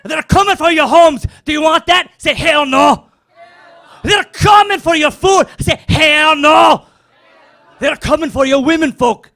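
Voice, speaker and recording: Rowdy man shouting a put-on Scottish rally speech. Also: extremely high pitch, measured pace, vocal fry. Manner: boisterous, shouting rally-style speech to a crowd. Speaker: comedy performer. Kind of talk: rowdy medieval-character stand-up.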